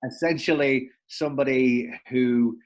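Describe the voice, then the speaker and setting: A man's voice: medium pitch, fairly even intonation, measured pace, a little mellow. Man, northern English accent, young adult, casual podcast guest, remote call from home. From north of England. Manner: chatty, self-deprecating, repeats words as he thinks.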